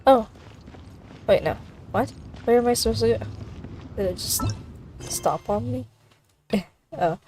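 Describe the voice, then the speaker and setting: Slow-talking, animated woman streamer. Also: fairly high pitch, a little hiss or hum. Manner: giggly, hesitant musing. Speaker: game streamer. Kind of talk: casual reaction commentary over gameplay.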